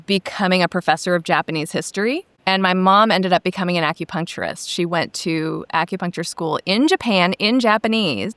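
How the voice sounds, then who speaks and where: A woman's voice: on the low side, fairly quick, few pauses, fairly bright tone, no room sound. A woman who grew up in Hawaii, a yoga teacher guest telling her story in an articulate, chatty way. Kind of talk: interview conversation.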